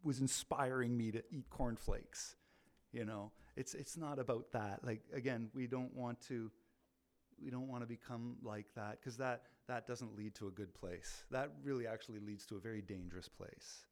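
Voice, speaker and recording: A man who leads a ministry, talking about faith in a loud, animated way, often repeating words as he restarts. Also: medium pitch, normal speed. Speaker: ministry leader. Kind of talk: casual faith talk.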